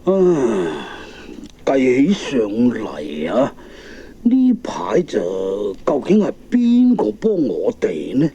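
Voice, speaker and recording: A man's voice: medium pitch, huge pitch swings, drawn-out words, mellow, husky, heavily acted. A man with a Hong Kong Cantonese accent playing a kindly old shoemaker in a children's radio drama, hesitant and sighing. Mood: wondering. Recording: old cassette with faint noise.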